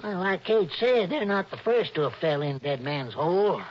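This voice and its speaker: An actor playing a cautious, folksy old Western guide with a rural American drawl in a 1960s radio drama. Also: male voice, high voice, big pitch swings, normal speed. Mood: folksy, cautioning. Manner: folksy, drawling, ungrammatical backwoods talk. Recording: dull, noisy vintage radio recording.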